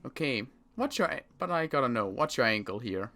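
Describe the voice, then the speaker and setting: An inquisitive male survivor , played by a man, in a zombie game roleplay. His bright voice moves around animatedly at a middle pitch. He is wary, wordy and a little theatrical. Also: big pitch swings, fairly quick. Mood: suspicious, probing. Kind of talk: improvised roleplay dialogue.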